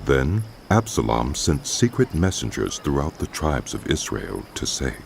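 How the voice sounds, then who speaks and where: A man reading scripted narration for a dramatized scripture recording, with a deep, gravelly voice. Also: big pitch swings, unhurried, a little hiss or hum.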